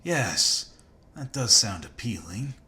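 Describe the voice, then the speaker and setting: An actor playing a confident, sly, menacing hunter in a space video game, with a dry, taunting manner. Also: male voice, medium pitch, very animated, takes it slowly, a crowd in the background. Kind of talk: video game character acting.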